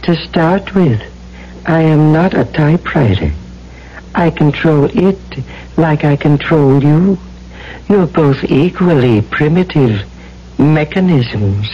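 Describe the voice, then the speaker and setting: A man's voice: a little high, expressive, slow pace, slightly rough, exaggerated voice. A man playing a mysterious disembodied being in a radio drama, cold, commanding and scornful. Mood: cold, domineering. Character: mysterious commanding voice from inside a typewriter. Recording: dull old radio recording.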